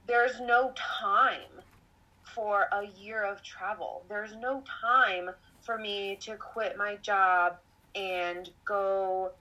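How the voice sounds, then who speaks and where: A woman's voice: medium pitch, steady tone, very slow, bright, forceful tone. Podcast host, woman, late twenties, rambly confessional chat on a slightly dull lo-fi track. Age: 20s. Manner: rambling, self-reflective, laughs often. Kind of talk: casual conversational storytelling.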